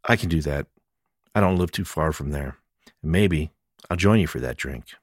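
A man's voice: extremely low pitch, normal speed, husky, no room sound, clean studio sound. A man voicing a tough but caring female police detective, matter-of-fact and dryly humorous, in a mystery audiobook. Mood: easygoing.

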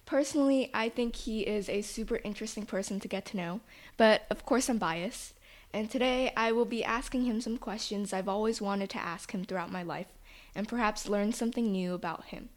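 Young woman host, medium pitch, friendly upbeat interviewer. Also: a little fast. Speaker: podcast host. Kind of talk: casual podcast interview. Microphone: shared microphone.

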